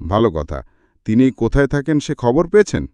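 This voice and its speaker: A man's voice: mid-range voice, sing-song, brisk, mellow, dry recording, natural voice. A man voicing an inquisitive male journalist in a story, persistent and pressing with questions. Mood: probing. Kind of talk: audiobook character dialogue.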